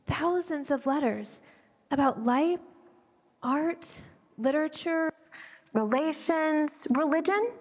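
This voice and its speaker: An actress playing a proud, protective sister-in-law, speaking with emphasis in a theatrical stage monologue. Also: female voice, high voice. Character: proud sister-in-law defending a misunderstood artist. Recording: reverberant hall, stage performance.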